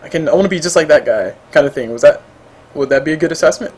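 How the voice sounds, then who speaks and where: A man's voice: a little high, fast, darkish tone, breathy edge. A man hosting a casual esports interview, playful and rambling, drifting off on jokes and tangents. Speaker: interview host.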